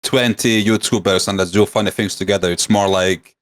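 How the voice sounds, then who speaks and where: Man, Lithuanian-mixed English accent, deep voice, rambly podcast guest. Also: steady tone, brisk. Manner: rambling, many fillers and restarts. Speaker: guest, streamer. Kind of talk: casual podcast chat.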